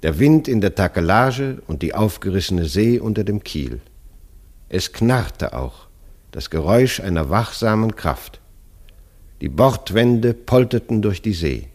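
Man narrating a radio play story, lowish voice, very lively intonation. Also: unhurried. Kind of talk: scripted literary storytelling.

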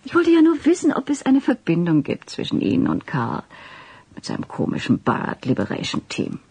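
A woman playing a self-assured, teasing reporter with a husky voice and a probing manner in a radio drama. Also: sits high, huge pitch swings, a little fast, darkish tone, heavily acted. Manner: probing, playful, insistent. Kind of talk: German radio drama acting.

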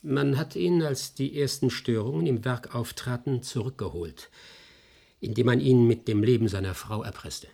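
A sharp investigator in a radio drama, bit husky, medium pitch, lays out findings step by step. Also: male voice. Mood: grave, matter-of-fact. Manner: measured, explanatory, insistent. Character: sharp, persistent male investigator explaining his findings. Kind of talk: German radio play dialogue.

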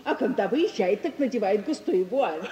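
A woman's voice: mid-range voice, expressive, moderate pace, dark tone, muffled recording, natural voice. An actress playing a sly, teasing provincial society woman, arch and knowingly ironic, in a 1950s Russian radio stage comedy. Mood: mock-confiding. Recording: echoey hall, 1950s radio recording.

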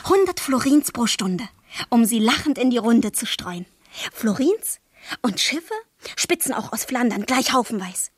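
Audio drama casting: lovestruck, demanding young girl, very breathy, fairly high, recorded close and dry. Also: lively intonation, dry recording. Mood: dreamy, greedy. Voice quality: breathy, whispery. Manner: intense, dreamy, drawn-out phrasing. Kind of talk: poetic radio drama acting.

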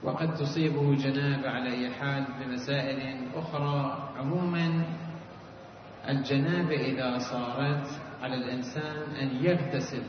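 A man's voice: medium pitch, fairly even intonation, moderate pace. A male cleric giving a sermon on religious rulings, explaining step by step and raising listeners' questions to answer them. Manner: chanted opening invocation. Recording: distant echoey hall, dull lo-fi with noise.

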